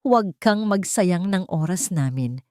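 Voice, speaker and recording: A woman's voice: on the low side, expressive, unhurried, a little mellow, no room sound, clean studio sound. A woman voicing a haughty, impatient older mother of a rich family in an audio love drama. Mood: irritated.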